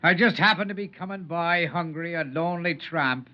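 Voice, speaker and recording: An actor playing a whimsical, lonely tramp in an old-time radio drama, speaking in a florid, lilting, poetic way. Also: male voice, a little high, moderate pace, lightly acted. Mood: alarmed, pleading. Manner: lilting, florid, theatrical speech. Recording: dull vintage radio recording.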